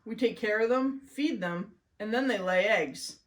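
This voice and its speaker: Storybook farm girl, read by a woman: earnest, proud, explanatory, mid-range pitch. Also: unhurried, lightly acted. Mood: earnest, explaining.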